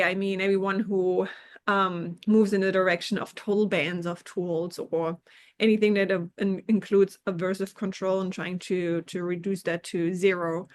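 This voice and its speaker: A woman from Germany talks through research as a podcast guest. She has a middling voice and explains carefully, circling back and qualifying her points as she goes. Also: medium pitch. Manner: thoughtful and explanatory, with hedges and restarts. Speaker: scientist guest.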